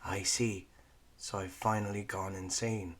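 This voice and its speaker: A man voicing an older woman martial artist, a weary loner who speaks curtly and flatly. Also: deep voice, unhurried. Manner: curt and flat.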